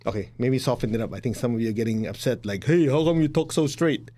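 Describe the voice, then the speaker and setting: A man's voice: mid-range voice, lively intonation, quick pace, mellow. A middle-aged man with a Chinese accent gives a blunt, direct spiritual talk as a teacher. He acts out the voices of the people he quotes and laughs at his own jokes.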